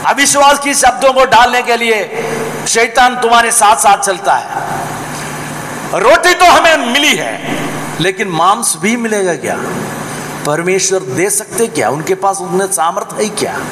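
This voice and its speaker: A man preaching a sermon in Hindi, shifting between loud exhortation and low, quiet asides, and mimicking doubters in small voices. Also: lively intonation, a little slow. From South India. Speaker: pastor. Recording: echoey hall with background noise.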